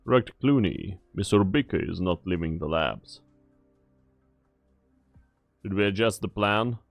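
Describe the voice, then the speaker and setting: A brusque male security officer, played by a man, with a fairly low voice. He is clipped and impersonal, recorded dry and close, with faint music under a game-drama scene. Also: expressive, measured pace, gravelly, no echo, heavily acted. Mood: dutiful. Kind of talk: English fan dub of a game story.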